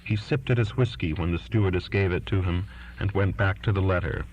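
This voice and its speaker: A man's voice: low-pitched, fairly quick, a little mellow, slight background noise. A man reading literary fiction aloud as a scripted audiobook narration, in a lo-fi recording. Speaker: audiobook reader. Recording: dull lo-fi recording.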